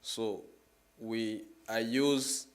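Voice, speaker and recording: A man in his twenties with a Congolese accent giving a personal testimony as a public talk, halting and repeating his phrases in plain words. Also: on the low side, fairly even intonation. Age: 20s. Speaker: guest speaker at a church, sharing testimony.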